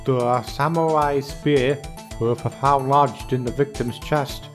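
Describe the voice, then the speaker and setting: A man voicing a bumbling, nervous police detective who stutters haltingly, in a video game fan dub. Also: mid-range voice, steady tone, soft background music, natural voice. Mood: nervous, flustered.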